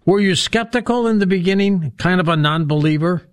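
A man's voice: sits high, a little fast, no room sound, studio quality. A man hosting a radio program, putting interview questions to his guest in a conversational way. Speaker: radio show host, interviewer.

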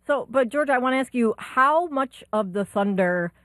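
A woman co-hosting a sports talk show, asking quick, searching questions. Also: a little high, animated, fairly bright tone, no room sound. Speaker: sports talk radio co-host.

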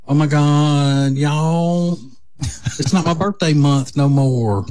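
A man's voice: on the high side, mellow, dry recording. A man, hosting a casual morning radio show from a studio and drawing out his teasing stories. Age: 50s. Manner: drawn-out, teasing storyteller.

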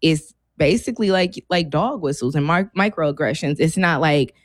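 Female American talk co-host, lower-pitched and lively, opinionated, slangy, in a dry close recording. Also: normal speed, no echo. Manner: animated, opinionated, informal slangy phrasing. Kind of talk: casual banter and discussion.

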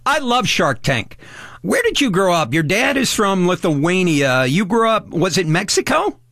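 An upbeat man hosts a radio interview, joking and firing off direct questions. His voice sits a touch high, recorded close and dry in a studio. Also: very animated, a little slow, a bit bright, no echo. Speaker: radio show host.

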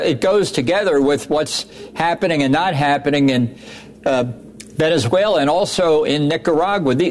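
A former ambassador gives his view on a news show in a measured, deliberate way. His voice sits a bit high for a man. He often doubles up on words as he begins a thought. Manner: measured, repeats words as he starts sentences. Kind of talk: news interview.

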